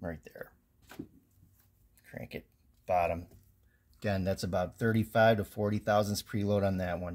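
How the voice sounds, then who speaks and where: A man's voice: fairly low pitch, darkish tone. A man giving a casual, plainspoken technical how-to while working on an engine in a garage, filmed close on a handheld phone, with frequent ums and restarts. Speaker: home mechanic. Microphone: handheld phone camera close over engine.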